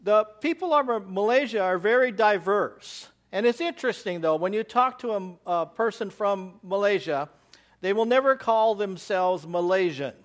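An American missionary giving a church slide presentation, rambling with many fillers and restarts. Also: male voice, high voice, lively intonation, normal speed. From United States.

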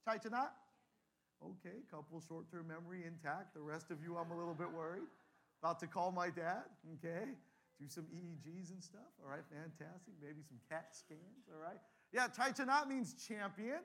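A man's voice: fairly high pitch, moderate pace, creaky, a bit bright. A man in his forties, a pastor giving a humorous talk to a live audience, joking and calling for replies. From Canada. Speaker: pastor and guest speaker. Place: large room with a live audience.

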